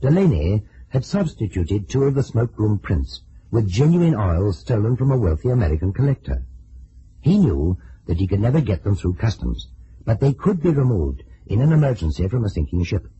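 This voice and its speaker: Measured detective, dark low voice, expressive intonation, vintage radio mystery, dull recording. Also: male voice. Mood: explanatory. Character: measured, courteous male investigator narrating a case. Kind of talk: old-time detective radio drama narration.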